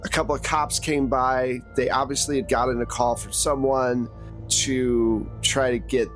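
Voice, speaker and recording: Slow-talking man hosting as game master. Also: medium pitch, level tone, fairly bright tone, soft background music. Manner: conversational storytelling, addressing players directly. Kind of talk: narrating a tabletop roleplaying game.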